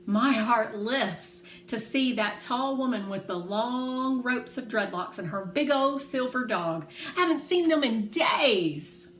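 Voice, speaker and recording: A woman reading a children's novel aloud in expressive storytelling narration. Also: fairly high pitch, slow pace. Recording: roomy echo, faint background noise.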